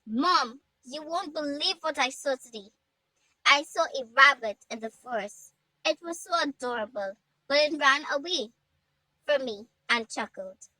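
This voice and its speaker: A woman's voice: high voice, animated, unhurried, pushed and bright, no room sound, full character voice, rough recording. A woman voicing an emotional, dramatic village girl in a told story, defiant yet tender. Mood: cheerful. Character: defiant grieving village girl, tender with her sick mother.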